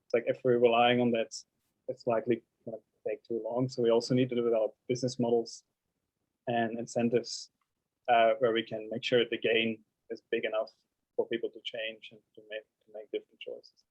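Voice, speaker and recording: Man from belgium, panelist, flat monotone, repeats words, dull dry recording. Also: mid-range voice, a little fast, no room sound. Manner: earnest, repeats words while thinking. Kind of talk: panel discussion.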